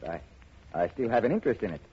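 A man's voice: mid-range voice, very animated, quick pace, slightly rough. An actor playing an indulgent, hesitant older father who fusses and repeats himself in a radio drama. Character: indulgent, flustered older father, a retired banker. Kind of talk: 1950s radio drama acting. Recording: muffled, noisy old radio recording.

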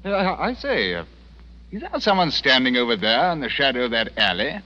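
An actor playing a stuffy, stammering gentleman tourist in 1940s radio style. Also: mid-range voice, huge pitch swings, brisk. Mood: amused disbelief. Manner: stammering, blustering. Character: stuffy, blustering British gentleman tourist, easily amused. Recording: muffled old radio broadcast.

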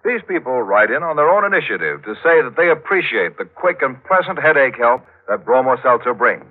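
A man's voice: medium pitch, sing-song, brisk. A man with an American accent announcing and reading a scripted 1940s radio advertisement. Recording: tinny old radio broadcast.

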